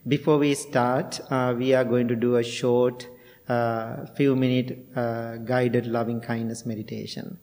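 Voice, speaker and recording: Middle-aged male Buddhist monk from Sri Lanka, unhurried, gentle, pausing often, even-toned, long reverb. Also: medium pitch. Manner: slow, gentle, with frequent pauses and fillers. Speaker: Buddhist monk and meditation teacher. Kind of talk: guided meditation and dharma talk.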